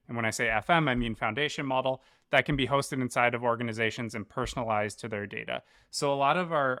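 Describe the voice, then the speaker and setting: Mid-pitched man, dry close sound. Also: fairly bright tone, dry recording. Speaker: tech company co-founder, interview guest. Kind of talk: explanatory interview answers.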